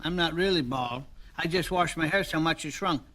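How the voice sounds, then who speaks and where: A man voicing a silly, wisecracking, cowardly bald stooge in a slapstick cartoon, with a squeaky, tense voice and clownish delivery. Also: moderate pace, bright, forceful tone. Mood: goofy joking. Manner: goofy, clownish joking. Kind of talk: slapstick cartoon comedy acting.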